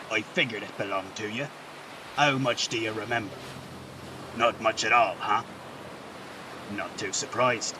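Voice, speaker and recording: An actor playing a genial, folksy ship captain in a fantasy game dub. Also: male voice, fairly low pitch, recorded from a distance, background noise, lightly acted. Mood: helpful, concerned. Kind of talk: video game character dub.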